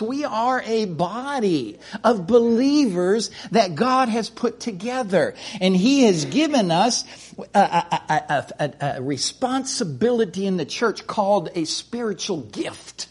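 A man's voice: high voice, husky. A middle-aged man from the United States preaching a sermon in a folksy way, often repeating words and restarting sentences.

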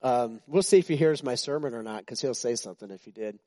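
A man's voice: mid-range voice, quick pace, warm tone, no echo. A man in his sixties, a pastor giving an informal, chatty sermon to his church, joking with the congregation and often restarting his sentences. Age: 60s.

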